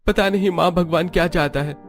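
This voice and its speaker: A man's voice: high-pitched, fairly quick, pitched above the performer's own voice. A man voicing a worried adult son pleading with his mother in a cartoon story. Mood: anxious pleading. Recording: background music.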